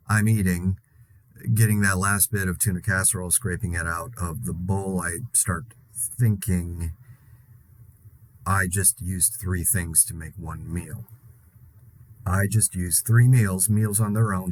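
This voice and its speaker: Actor as low-voiced, wry, anxious apocalypse broadcaster. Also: male voice. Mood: rambling, sheepish. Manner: rambling, sardonic, halting. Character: anxious, wry man broadcasting alone during zombie apocalypse. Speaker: podcast host in a zombie-outbreak audio drama. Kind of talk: confessional monologue broadcast, conversational.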